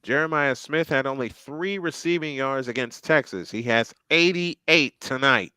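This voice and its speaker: A man's voice: mid-range voice, measured pace, bright, forceful tone, smooth, dry recording. A man doing live play-by-play commentary on a football game, chatty and thinking aloud between plays.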